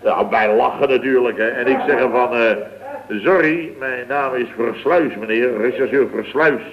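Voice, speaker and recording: An actor playing a boastful, easily flustered building contractor boss in a radio comedy, rambling and full of verbal tags. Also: male voice, medium pitch, normal speed, crowd noise, close to an everyday voice. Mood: boastful, amused. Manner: rambling, peppered with "hè" and "zeg". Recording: tinny, band-limited vintage radio recording.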